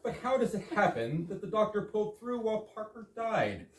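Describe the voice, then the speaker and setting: A man's voice: high-pitched, very animated, a little fast. A man playing a fussy, pompous, absurdly opinionated English husband in a staged reading of an absurdist comedy. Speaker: amateur actor in a readers theater. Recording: roomy, distant, noisy live stage recording.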